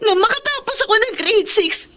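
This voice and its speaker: A woman's voice: very high voice, expressive, fairly quick, fairly bright tone. A woman playing a suffering eighteen-year-old daughter in a Filipino radio drama, plaintive and reproachful. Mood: tearful reproach. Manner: emotional, plaintive delivery.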